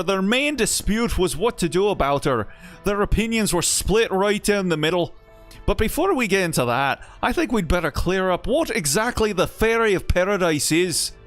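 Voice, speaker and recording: A brash male mage companion with a thick, deliberately exaggerated Irish accent, pushy and prodding. A man acts him out while reading a game aloud. The voice sits far above the performer's own and is pushed loud, with soft game music behind. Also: high voice, voice pushed up. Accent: exaggerated put-on Irish. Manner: broad, cartoonish accent, prodding and bossy.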